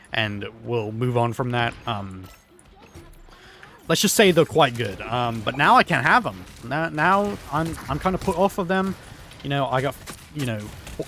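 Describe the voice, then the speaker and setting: A hoarse man rambling through an absurd story over gameplay, full of fillers and restarts. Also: mid-range voice. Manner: rambling, filler-heavy, absurd deadpan tales. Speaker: gaming YouTuber.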